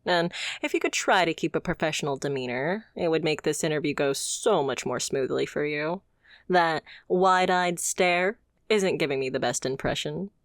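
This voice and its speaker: A woman's voice: low voice, moderate pace, no echo, clean studio sound. A woman playing a confident, haughty supervillain boss in a scripted ASMR roleplay, speaking with teasing, condescending poise. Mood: haughty, brisk. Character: confident female supervillain boss, haughty yet playfully amused.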